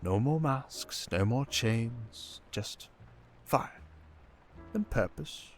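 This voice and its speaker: A man playing a suave, cunning villain in an intimate ASMR roleplay, speaking in a silky, theatrical, teasing way with deliberate pauses. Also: mid-range voice, animated, faint music behind. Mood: seductive, persuasive. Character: suave, cunning male criminal mastermind villain, seductive and teasing.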